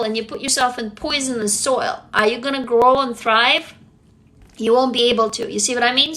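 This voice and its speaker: A woman's voice: on the high side, pushed and bright. A young woman from Russia, whose first language is Russian, hosting a livestream and talking in an earnest, blunt way, swearing casually and reading viewer comments. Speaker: livestream host.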